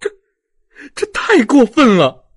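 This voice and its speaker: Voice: mid-range voice, huge pitch swings, slow pace, slightly rough, no echo, studio quality, pitched above the performer's own voice, exaggerated voice. A narrator voicing an indignant, insistent young woman in an audiobook, full of exclaimed questions. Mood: indignant, surprised. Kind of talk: audiobook character dialogue.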